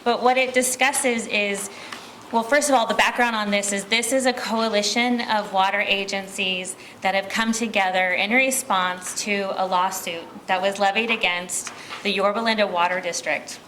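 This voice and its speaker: American lady presenting at a public meeting, bright clear-cut voice, halting and chatty, bit of noise behind. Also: medium pitch, fairly even intonation. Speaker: water district manager giving a presentation. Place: meeting room, speaking at a podium.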